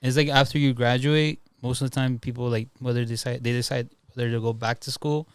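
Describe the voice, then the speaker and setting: A young man with a smooth, clear voice at a middling pitch, recorded dry and close. He chats informally as a guest and tends to repeat himself. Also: flat delivery, brisk, no echo. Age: young adult. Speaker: podcast guest, filmmaker. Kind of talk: casual chat.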